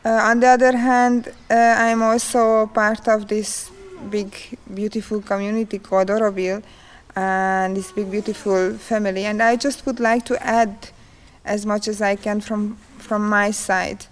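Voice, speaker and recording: A woman with a Hungarian accent and Hungarian as her first language, leading a folk dance class and answering interview questions in slow, halting speech with frequent pauses. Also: mid-range voice, level tone, poor audio.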